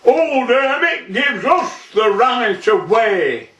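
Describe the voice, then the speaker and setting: A man's voice: very high-pitched, sing-song, slow pace, bright, forceful tone, voice pushed up, heavily acted. A man voicing a swanky, pompous Victorian army sergeant in comic dialect verse. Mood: haughty. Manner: pompous, haughty. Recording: roomy, distant microphone.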